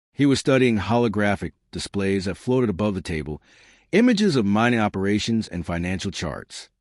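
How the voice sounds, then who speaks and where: A man's voice: fairly low pitch, dry recording, studio quality. A man reading a suspenseful science fiction story aloud in a dramatic narrating style.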